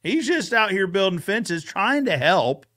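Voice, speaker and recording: A man's voice: high voice, very animated, a little slow, bright, forceful tone. A man co-hosting a casual recap, retelling a story in an informal, conversational way. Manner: informal, retells characters' dialogue. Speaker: co-host recapping episode.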